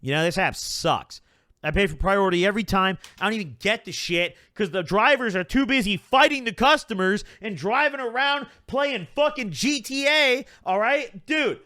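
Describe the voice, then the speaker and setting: A young man spins a rambling, profanity-laced storytime, leaning on "like" and "bro" as he goes. His voice sits slightly higher than most men's. The sound is close and dry, with no room around it. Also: normal speed, no room sound. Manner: animated, puts on voices when quoting people. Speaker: channel host. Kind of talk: casual storytime monologue.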